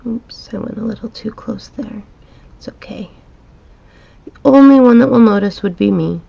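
Slightly raspy woman, calm drawing teacher. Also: medium pitch, normal speed, highs cut off. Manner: calm, chatty, reassuring, with frequent ums. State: slightly raspy from a dusty room. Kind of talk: relaxed step-by-step drawing tutorial. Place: home art studio, indoors.

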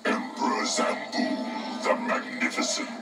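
A man playing a grave warrior made of many souls in a fighting game, formal and ominous, with a hollow, breathy, processed voice. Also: loud music behind the voice. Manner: slow, formal, ominous, speaks as we. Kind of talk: fighting game character dialogue.

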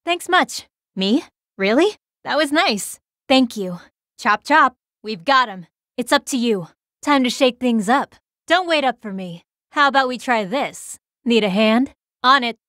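A blunt, nonchalant young fighter from a video game. She tosses off casual, sardonic lines in a fairly high voice with expressive swings. It sounds close and dry. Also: measured pace, no echo. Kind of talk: video game battle voice clips.

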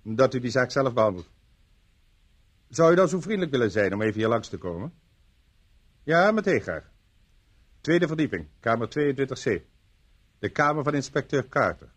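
An attentive police sergeant in a radio play. He is courteous and official, with a very animated, mid-pitched voice. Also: normal speed, pauses often, breathy edge. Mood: eager, courteous. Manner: polite, eager, official.

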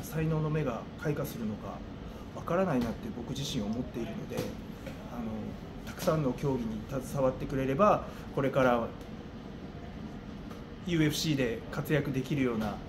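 A middle-aged man giving interview answers as a gym coach, thinking aloud with hesitant pauses. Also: medium pitch, animated. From Japan. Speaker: gym owner and martial arts coach. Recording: clearly noisy room ambience.

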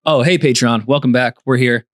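A man in his thirties hosting a podcast, drifting through rambling, deadpan asides. His voice sits at an ordinary pitch, picked up close and dry in the studio. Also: brisk, dry recording. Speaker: podcast host. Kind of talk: casual banter.

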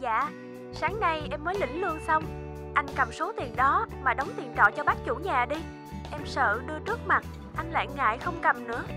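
Casting: gentle young cartoon girlfriend, high voice, sincere, light music bed. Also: expressive, moderate pace. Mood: soft, sincere. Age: young adult. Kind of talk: cartoon voice acting.

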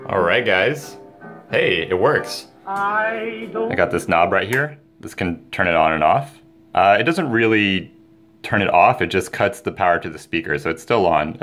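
Low-pitched man, casual DIY vlogger. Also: big pitch swings, normal speed, fairly bright tone, soft background music. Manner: informal, enthusiastic. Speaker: DIY video maker, host. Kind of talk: casual hobby project vlog, talking to viewers. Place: indoors, small home room.

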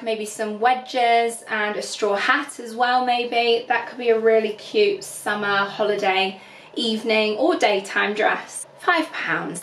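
A woman's voice: fairly high pitch, fairly bright tone. A young woman chatting casually to camera about clothes she is trying on, recorded in her bedroom on a camera a few feet away. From England. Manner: chatty, candid, gestures while holding up clothes. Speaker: fashion vlogger. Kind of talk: casual try-on haul chat. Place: bedroom at home. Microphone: camera on tripod at a few feet. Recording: roomy bedroom echo with music.

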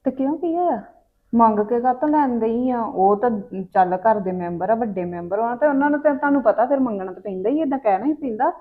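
A woman's voice: on the high side, brisk, narrow, tinny audio, lightly acted. A woman playing a cheerful, easygoing young wife who teases and jokes in a home comedy sketch. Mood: playful. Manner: playful, teasing.

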